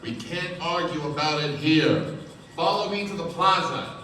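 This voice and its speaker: A man's voice: on the high side, measured pace, sharp tone, soft background music. A man playing a blustering, suspicious rich husband in a radio play, brusque and scornful. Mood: domineering. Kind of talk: stage radio play acting. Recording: echoey stage from far off, clear noise.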